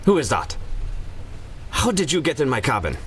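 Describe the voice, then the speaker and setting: A man playing an anxious, formal and troubled German gentleman traveller in a radio drama. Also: mid-range voice, wildly swooping pitch, moderate pace, a little hiss or hum. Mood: alarmed.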